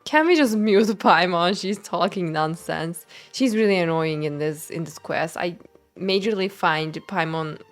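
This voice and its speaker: A woman streamer chats casually while commenting on a game. She is not a native English speaker and talks at a slow pace, playful and expressive, with soft music in the background. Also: on the low side. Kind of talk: casual live game commentary, chatting with viewers.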